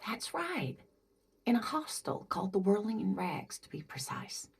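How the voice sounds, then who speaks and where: A woman voicing a kindly, scatterbrained older married woman in a video game, gentle and doting, with music behind her. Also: medium pitch, sing-song, moderate pace, vocal fry, slightly rough, full character voice. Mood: gentle, nostalgic. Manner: gentle, doting, hesitant, calls listener dear. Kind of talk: video game dialogue acting.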